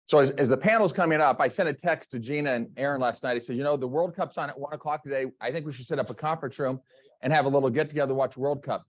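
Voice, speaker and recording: A man's voice: mid-range voice, fast talker, dry recording. A man making joking remarks at a sales meeting, in a teasing manner. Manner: teasing, jokey. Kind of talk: joking casual talk. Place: meeting room.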